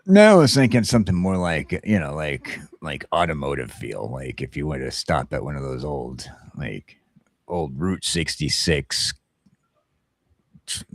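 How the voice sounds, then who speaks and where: A man's voice: very deep voice, big pitch swings, a little slow, no echo. A man hosting a casual morning talk show, chatting in a joking, self-deprecating way.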